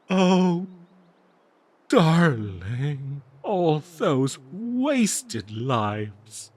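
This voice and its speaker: A man voicing a bloodthirsty, doting cursed dagger in an audio roleplay, with theatrical, halting, gushing talk full of endearments. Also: a little high, big pitch swings, a little mellow, slightly rough, no room sound, pitched above the performer's own voice, full character voice. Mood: awed, remorseful, ecstatic. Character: obsessive cursed talking dagger, bloodthirsty, doting, giddy, fawning.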